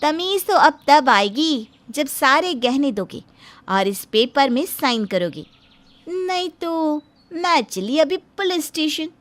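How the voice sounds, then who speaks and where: A very high female voice plays a scheming, demanding in-law , acted by a woman. She is dramatic and pushy, whining and threatening in the manner of a family moral story. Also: sing-song, slow pace, heavily acted. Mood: angry. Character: scheming, shrill mother of the bride and greedy threatening daughter. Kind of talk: animated moral-story dubbing.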